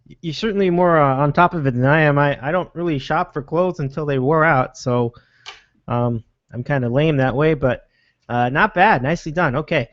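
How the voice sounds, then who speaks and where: A man hosting a show, reacting warmly and upbeat, often halting and restarting his sentences. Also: on the high side, dry recording. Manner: upbeat, affirming, halting with fillers. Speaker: host. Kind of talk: casual chat. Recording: dull, dry lo-fi sound.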